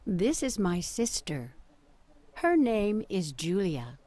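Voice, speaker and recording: A woman with an American accent reading short English lesson sentences in a clear, sing-song teaching manner. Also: mid-range voice, very animated, slow, warm tone. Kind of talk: slow scripted lesson reading. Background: harp music.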